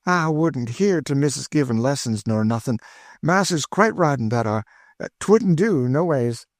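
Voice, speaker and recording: A man doing an excitable older cook woman. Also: mid-range voice, normal speed, no echo, clean studio sound, voice pushed up, natural voice. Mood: delighted. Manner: exclaiming, folksy, eager. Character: warm, chatty older enslaved cook woman.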